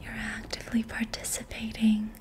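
A young woman whispering breathily in a soothing, gentle ASMR style as she guides an energy healing session. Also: a little high, monotone, normal speed. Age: young adult. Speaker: ASMR reiki practitioner. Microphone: close mic.

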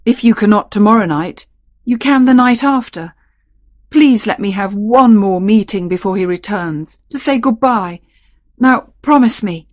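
A woman narrator voicing a lovelorn gentleman captain, earnest and romantic, in a storybook. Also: medium pitch, unhurried, no echo, highs cut off, lightly acted. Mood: pleading. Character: ardent, pleading gentleman captain in love.

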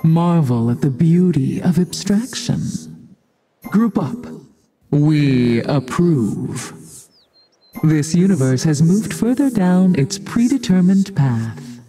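Game role: cold chaos goddess, solemn and commanding, unhurried delivery, lively intonation. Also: female voice, low-pitched, soft background music. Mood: cold, imperious. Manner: solemn, commanding, detached, formal. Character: imperious otherworldly chaos goddess, cold and abstract. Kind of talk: video game character voice lines.

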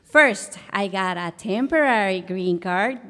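A woman's voice: medium pitch, expressive, measured pace, bright, forceful tone. A woman from Guatemala with a Guatemalan Spanish accent, telling a personal story on stage as a guest. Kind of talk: personal story told to a live audience. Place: live stage show.

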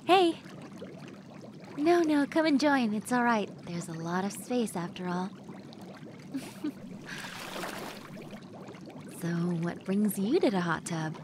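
Woman acting unhurried, flirty crush over bubbling water. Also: medium pitch, close to an everyday voice. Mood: friendly, relaxed. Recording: steady running water noise behind voice. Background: bubbling hot tub water sounds.

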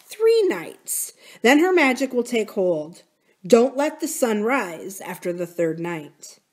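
A woman's voice: fairly high pitch, sing-song, slow pace, full character voice. A woman voicing a stern, cryptic old witch who gives grave, emphatic warnings in an audiobook story. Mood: urgent, ominous.

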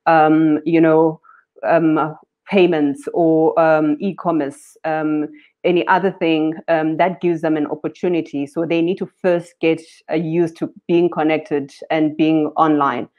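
A woman, a company founder, explaining her work on a panel over a video call, steady with frequent ums. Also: low-pitched, unhurried. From South Africa. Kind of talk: panel discussion talk. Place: indoors, home room with curtains. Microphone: webcam on video call. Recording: dull lo-fi video call audio.

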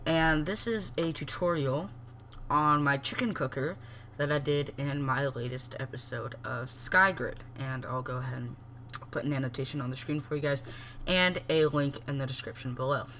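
A man's voice: medium pitch, moderate pace, pushed and bright, a little hiss or hum. Congested young man explaining a game build. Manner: rambling, self-correcting, many ums. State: congested from a cold. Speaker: game tutorial host. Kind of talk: casual screen-recorded game tutorial.

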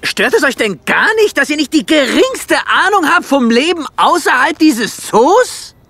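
Cartoon zebra, very high voice, excitable, cheeky, chatty, music behind. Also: male voice, a little slow, vocal fry, no echo, exaggerated voice. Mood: dreamy, eager. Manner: chatty, exclamatory. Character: excitable dreamy young male zebra, restless and cheeky. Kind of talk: animated film radio play.

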